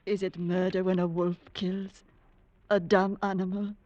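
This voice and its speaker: An actress playing a brooding, anguished woman in a 1940s radio drama, with intense, deliberate delivery. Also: on the low side, level tone, darkish tone, no echo, close to an everyday voice. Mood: bitter, brooding. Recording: dull, dry old radio recording.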